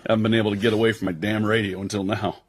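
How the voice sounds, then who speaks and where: An actor with an American accent playing a tough, loyal intelligence agent in a radio drama, husky, wry and slangy. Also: male voice, on the low side, level tone, racing, lightly acted. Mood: wry, breezy.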